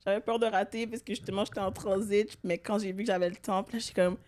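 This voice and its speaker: A woman's voice: medium pitch, fairly even intonation, fast talker, darkish tone. Breezy woman, slangy chatter. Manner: breezy, slangy, mixes French and English. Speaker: podcast co-host. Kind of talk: casual chat.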